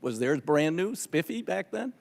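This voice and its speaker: A man's voice: fairly high pitch, big pitch swings, gravelly. Skeptical American man in a reverberant hearing room. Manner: halting, skeptical, pressing. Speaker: legislator questioning witnesses at a hearing. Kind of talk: off-the-cuff questioning and argument at a legislative hearing. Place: large indoor hearing room.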